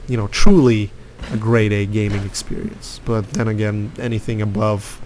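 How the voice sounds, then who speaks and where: A man's voice: on the low side, steady tone, moderate pace, a little mellow, slight background noise, poor audio. A young man from Lithuania giving rambling, opinionated commentary over his gameplay, with lots of fillers. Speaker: gaming youtuber.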